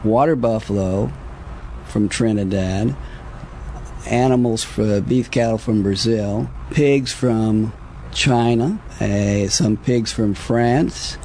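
A man answering an interview as a facility veterinarian, explaining things in a formal, careful and somewhat halting way. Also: on the low side, mellow, a little hiss or hum.

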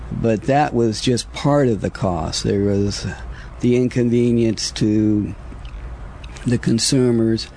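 A man speaking as a government official in an interview, answering in a measured, halting, formal way. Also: fairly low pitch, warm tone, a little hiss or hum. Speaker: government agriculture inspector, interview guest.

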